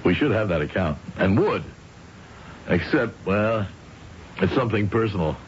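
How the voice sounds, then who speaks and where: Casting: male actor for anxious, deferential middle-aged company man, low-pitched, old radio drama, dull recording with music behind. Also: lively intonation, normal speed, a little hiss or hum, natural voice. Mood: uneasy, resigned. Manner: hesitant, deferential with his boss. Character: anxious middle-aged salesman, deferential, uneasy, haunted by memory. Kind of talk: old-time radio drama acting, with narrated inner thoughts.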